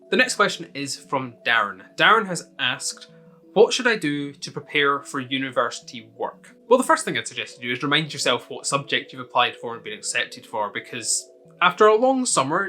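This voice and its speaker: A young man with a Scottish accent talks straight to camera, walking through viewers' questions in a friendly, explanatory way. His voice sits a touch high and sounds bright and forward. Soft music plays faintly underneath. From Scotland. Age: young adult. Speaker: student video host. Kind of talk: casual advice talk to camera.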